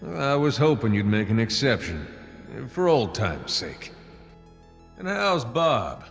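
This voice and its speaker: A man's voice: mid-range voice, very animated, slow pace, gravelly, long reverb tail, faint music behind, heavily acted. An actor playing a laid-back, wry male cowboy gunslinger in a video game. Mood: coaxing. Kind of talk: video game character dialogue.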